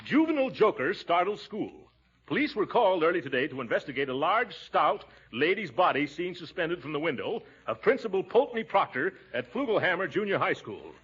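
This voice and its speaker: A man playing a pompous, easily flustered middle-aged uncle in 1940s radio comedy style, with a husky voice. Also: on the high side, sing-song, fairly quick, fairly bright tone, full character voice. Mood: worried. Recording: dull 1940s radio broadcast recording.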